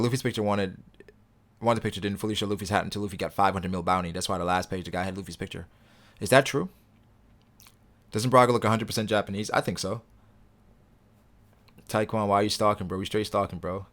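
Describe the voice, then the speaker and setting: A young man hosting a casual livestream, reading viewer comments aloud and answering them in a relaxed, rambling way. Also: lowish voice. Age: young adult. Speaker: livestream host reading chat.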